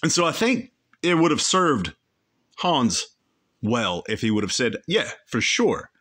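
A man's voice: medium pitch, big pitch swings, measured pace, a bit bright. A man hosting a podcast, giving rambling, opinionated commentary on a comedy show clip and correcting himself as he goes. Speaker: podcast host reacting to a clip. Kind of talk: casual commentary.

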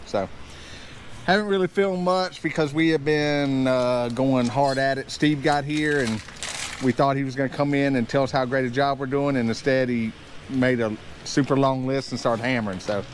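A man's voice: mid-range voice, fairly even intonation, normal speed. A man in his thirties casually narrating a walkthrough as a builder, in an easygoing, folksy and somewhat hesitant way. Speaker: builder hosting a build vlog. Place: outdoor construction site. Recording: outdoor site noise, faint vehicle sounds.

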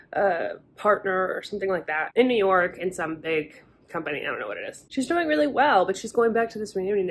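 A woman's voice: medium pitch, slight background noise. A woman in her thirties talking casually to a handheld phone camera about a book, frank and chatty with the odd swear word. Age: 30s. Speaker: book vlogger. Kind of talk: casual reading vlog chat. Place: hotel room and car, indoors. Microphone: handheld phone camera at arm's length.

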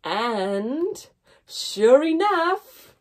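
A young woman reading a picture story aloud for small children, expressively, with deliberate pauses and emphasis and a slightly husky voice. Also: sits high, very animated. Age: young adult. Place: indoors, small room with painted backdrop.

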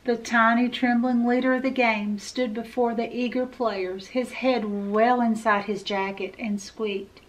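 A woman's voice: a little high, fairly even intonation, a little slow, hardly any pauses, a little hiss or hum, rough recording. A middle-aged woman reading a children's picture book aloud expressively, as a library storyteller. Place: indoor room with full bookshelves.

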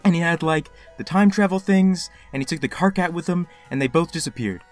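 An actor voicing a flustered, excitable young male fan in an animated comic dub, easily exasperated and prone to stammering. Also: mid-range voice, brisk, soft background music, close to an everyday voice. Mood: frantic. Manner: urgent, stammering.

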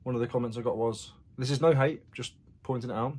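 A man's voice: mid-range voice, fairly even intonation, fast, darkish tone. A man in his forties vlogging casually about his buys, chatty and frank about prices, in a small block-walled garage room. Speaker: reseller vlogger. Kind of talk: casual vlog chat.

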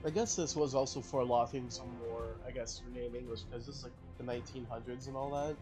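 A man's voice: medium pitch, steady tone, fast. Rambling man over faint music. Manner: rambling, thinks aloud, self-correcting. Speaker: gaming channel host. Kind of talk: casual let's-play commentary.

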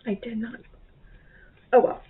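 A woman in her thirties chatting casually at home about her month's reading, rambling and correcting herself. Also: unhurried. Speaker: book vlogger. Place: bedroom or home office, indoors. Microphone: webcam at desk. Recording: tinny phone-like sound.

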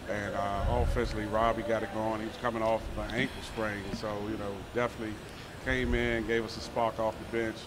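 A middle-aged man, a basketball head coach, answering post-game interview questions on a handheld mic in an empty indoor arena, talking in a relaxed, repetitive way with many fillers. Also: fairly low pitch, fairly quick, background noise.

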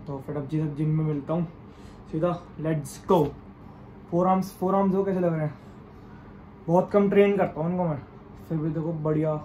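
A young man with a slightly high voice, chatting through his daily vlog while holding the phone camera at arm's length. Faint noise sits behind his voice. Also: darkish tone, low-quality recording. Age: young adult. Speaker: vlogger. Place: small room at home and outdoors.

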